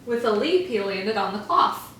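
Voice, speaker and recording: A woman teacher reading a picture book aloud to children in a gentle, expressive storytelling manner. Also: mid-range voice, normal speed, a little hiss or hum. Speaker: first grade teacher. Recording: echoey room, far from mic.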